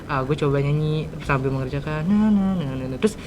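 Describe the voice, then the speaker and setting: Low-pitched teenage girl, Jakarta podcast host. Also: animated, a little fast, a little mellow, muffled recording. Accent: Jakarta Indonesian. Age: teens. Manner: chatty, informal youth slang. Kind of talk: casual chat interview. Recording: rumbly background noise.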